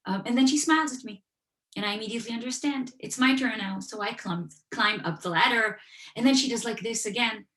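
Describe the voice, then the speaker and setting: A woman speaking over a video call from a room with a noticeable echo. Her pitch sits in the middle for a woman. She talks in a conversational way and builds her points through stories and everyday examples. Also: a bit bright. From Western Europe. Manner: storytelling, explains with everyday examples. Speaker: author and guest speaker. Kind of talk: conversational talk at an online event. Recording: echoey room.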